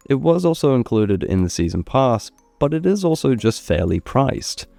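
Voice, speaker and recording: A man narrating a scripted video game ranking in a measured, opinionated way. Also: on the low side, gravelly, mellow. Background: background music.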